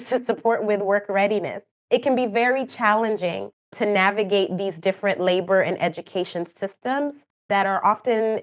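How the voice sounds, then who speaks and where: A woman with a Kenyan accent speaks in an earnest, explanatory way, answering as a guest on a podcast. English is not her first language. Her voice sits at an ordinary middle pitch. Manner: earnest, explanatory, with light laughs and asides. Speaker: program manager, guest expert.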